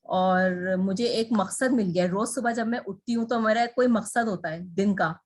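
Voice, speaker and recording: A woman's voice: mid-range voice, moderate pace, darkish tone. A woman, a copywriter interviewed as a guest, speaking earnestly and encouragingly, often switching into English. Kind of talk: casual Urdu-English interview.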